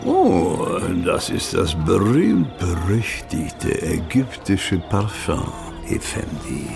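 Sly old Egyptian sheikh, audio drama: heavy fry, theatrical swings, music underneath. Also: male voice, sits low, very animated, exaggerated voice. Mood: secretive, refusing. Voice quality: gravelly, creaky. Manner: slow, theatrical, secretive.

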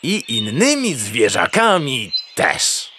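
A dopey, wisecracking farm dog from a children's audio play. He talks slowly with wildly animated pitch, over clearly audible birdsong and noise. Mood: amused, blunt. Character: blunt, wisecracking, slow-witted farmyard dog. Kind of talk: children's radio play acting.

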